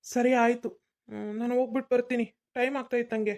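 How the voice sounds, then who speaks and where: A woman's voice: on the high side, flat delivery, music in the background, lightly acted. Woman voicing an evasive young son. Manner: hurried, brushing off questions.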